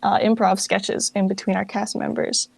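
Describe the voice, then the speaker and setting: A woman speaks as a guest in a casual interview, in an informal way full of "like". Her pitch is average for a woman and the sound is dry, without room echo. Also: little pitch movement, moderate pace, vocal fry. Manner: informal, filler-heavy with frequent "like". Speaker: guest, fan project director.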